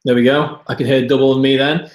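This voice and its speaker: A man's voice: medium pitch, little pitch movement, fast. Chatty man in a roomy space. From Wales. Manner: chatty, addresses viewers directly. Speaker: host of online masterclass. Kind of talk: casual live-stream presentation. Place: room, Budapest. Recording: roomy echo.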